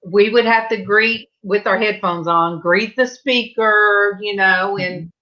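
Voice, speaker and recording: A woman's voice: medium pitch, slow, muffled recording. A woman from California telling a funny work story during a casual video call chat. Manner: animated storyteller, acts out quoted voices. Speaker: panel guest.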